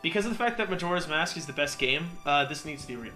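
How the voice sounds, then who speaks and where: A man giving casual, joking video game commentary, animated and a little profane. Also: fairly high pitch, fast, faint music behind. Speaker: let's player and commentator.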